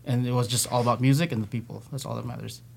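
A man's voice: medium pitch, fairly even intonation, rapid-fire, creaky, darkish tone, breathy edge. A man from the Philippines, a band member, chatting casually in a podcast interview, rambling with many fillers and frequent swearing.

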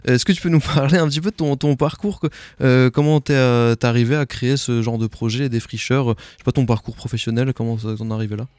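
Fast-talking male radio host. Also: medium pitch, steady tone, a little mellow, no echo, studio quality. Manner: quick, casual and informal. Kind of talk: live radio interview. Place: radio studio.

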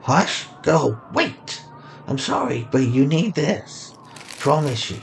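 A grimy, mysterious street vagrant in an audio story, played by a man, speaking in a raised pitch. He is urgent and coaxing, with short cryptic phrases, over audible background noise. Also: very animated, slow pace, vocal fry, exaggerated voice.

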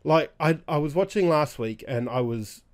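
A man co-hosting a weekly gaming show, a little raspy after being sick, chatting casually with lots of restarts. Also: fairly high pitch, lively intonation, a little mellow. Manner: halting, many restarts and fillers. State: slightly raspy from recent illness. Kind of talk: casual chat.

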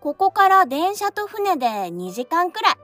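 An actress playing a pushy, cheeky high-school girl in an audio drama, talking casually and teasingly. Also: sing-song, moderate pace, sharp tone, soft background music, heavily acted. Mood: teasing, coaxing. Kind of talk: Japanese audio drama acting.

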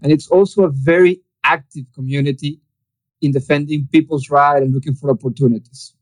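A man's voice: fairly high pitch, fairly even intonation, brisk, no echo, poor audio. Host: formal man, reads his intro, dry close sound. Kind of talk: hosting an interview series.

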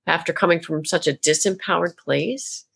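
A woman from Tennessee with no regional accent, an animated, expressive storyteller talking as a podcast guest. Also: on the low side, moderate pace, creaky, slightly rough. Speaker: guest, author and minister. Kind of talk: casual interview talk.

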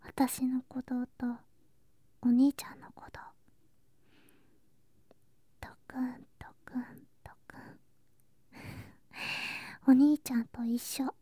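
A woman playing a sweet, clingy younger girl cousin in an ASMR voice drama, soft and breathy, often whispering, with a cutesy, affectionate manner. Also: high-pitched, level tone, takes it slowly, pauses often, no echo, full character voice. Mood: drowsy, content. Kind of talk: Japanese ASMR situation voice drama. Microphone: close ASMR microphone.

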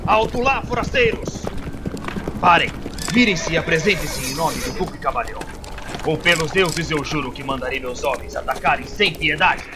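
A man's voice: fairly high pitch, animated, normal speed, close to an everyday voice. A man playing a stern, threatening guard captain who gives formal commands in a fantasy audio drama. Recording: noisy outdoor horse sound effects.

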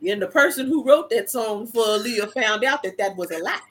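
A woman's voice: fairly high pitch, expressive, a little fast. A woman, co-hosting a casual chat, blunt and emphatic as she talks. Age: 40s. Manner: blunt, emphatic, laughs loudly. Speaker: co-host.

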